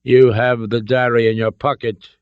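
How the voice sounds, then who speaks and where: A man's voice: medium pitch, flat delivery, moderate pace, smooth, no echo, dull audio, pitched above the performer's own voice, lightly acted. A man voicing an indignant, exasperated old father, a professor, in a storybook reading. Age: older.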